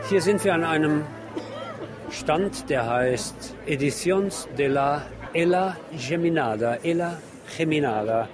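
A man's voice: fairly high pitch, measured pace, loud music behind the voice. A man working as a radio reporter, giving an unscripted spoken field report as he describes what he sees and reads out titles. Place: outdoors on a busy city street among book stalls. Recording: busy outdoor street crowd noise. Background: street crowd.

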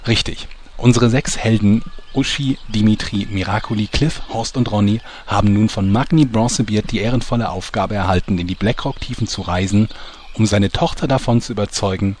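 A man plays a storybook narrator in a fantasy game parody. The voice is low and mock-solemn, easily thrown off. Slight noise sits behind it. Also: moderate pace, a little mellow, close to an everyday voice. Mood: storytelling. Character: mock-solemn, easily flustered male fairy-tale narrator. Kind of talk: scripted storytelling in German audio drama parody.

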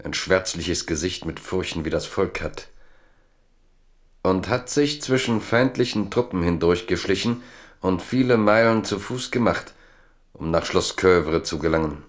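An actor serving as the composed narrator of a historical German radio drama. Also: male voice, deep voice, expressive, measured pace. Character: composed storyteller of a historical tale.

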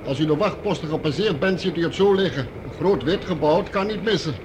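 Actor as a polite, fairly high-voiced male guard. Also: quick pace, natural voice. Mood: polite, chatty. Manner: deferential, chatty. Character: polite, talkative male subway checkpoint guard. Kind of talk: radio drama dialogue. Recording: noisy street and traffic ambience.

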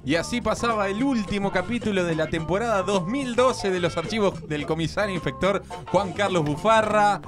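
A man's voice: high-pitched, moderate pace, music in the background. A man with an Argentine accent reading scripted radio credits as an announcer.

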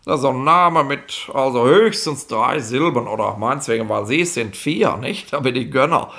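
Gravelly, rambling petty police inspector. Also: male voice, medium pitch, lively intonation, moderate pace, a bit bright. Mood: officious. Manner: rambling, colloquial, condescending explainer with constant tag questions. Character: chatty, self-important petty police inspector, bureaucratic and superstitious. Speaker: actor in a radio play. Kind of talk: 1960s German comic radio play acting.

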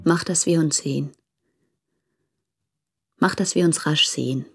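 A woman's voice: deep voice, unhurried, breathy edge, faint music behind, full character voice. An actress playing a woman in love, earnest and pleading, in an intimate radio drama. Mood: urgent longing. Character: yearning, urgent woman in love, pleading by letter.

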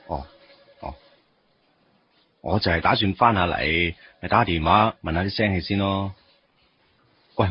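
A low, husky Hong Kong Cantonese voice from a male actor playing a wistful young office man in a radio drama. His pitch moves freely as he drifts between absent-minded musing and everyday chat. The recording is slightly muffled. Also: natural voice. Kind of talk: Cantonese radio drama acting with inner monologue.

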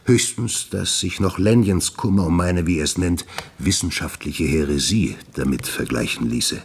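An actor playing a troubled, secretive gentleman scientist in a German radio play, urbane, condescending and evasive. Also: low-pitched, moderate pace, slight background noise. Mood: amused, disdainful.

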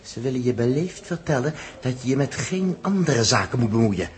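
A man's voice: mid-range voice, animated, moderate pace, close to an everyday voice. An actor with a Netherlands Dutch accent playing a grumbling, suspicious crewman in a 1960s radio drama, talking colloquially. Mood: suspicious, insistent.

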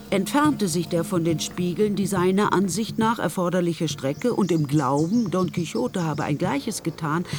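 Ein Mann erzählt als literarischer Erzähler eine Geschichte in einem Hörspiel, nach geschriebenem Text. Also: male voice, high-pitched. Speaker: narrator. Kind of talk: literary scripted narration in a radio play.

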